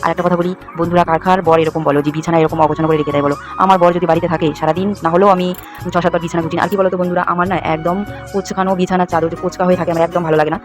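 Young woman, very rapid chatty vlog narration, flat intonation, noisy home recording. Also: on the low side, over music. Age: young adult. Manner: chatty, repetitive run-on storytelling. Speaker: home vlogger. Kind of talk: casual vlog narration addressed to viewers. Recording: clearly noisy home recording, uneven volume.

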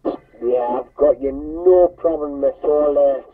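A man's voice: a little high, takes it slowly, husky. A man with a Northumbrian English accent making contact as a CB radio operator. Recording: noisy, muffled CB radio transmission.

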